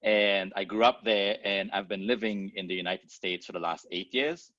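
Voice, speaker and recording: Relaxed man from Trinidad and Tobago. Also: lowish voice, dry recording, muffled recording. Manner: relaxed, easygoing, quick to laugh. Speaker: podcast guest. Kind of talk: casual interview conversation.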